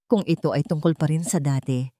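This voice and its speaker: A woman's voice: deep voice, brisk, dark tone, no room sound, voice pushed down, close to an everyday voice. A wealthy woman, nervous and evasive, played by a woman narrator, in a dramatic audio story. She sounds defensive toward her younger sibling. The recording is close and dry.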